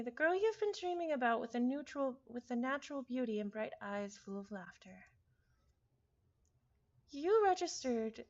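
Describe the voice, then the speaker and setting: Woman, high voice, casual game read-aloud, informal self-interrupting asides, dull recording. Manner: informal, self-interrupting, apologetic about name pronunciation. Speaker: reader of a visual novel.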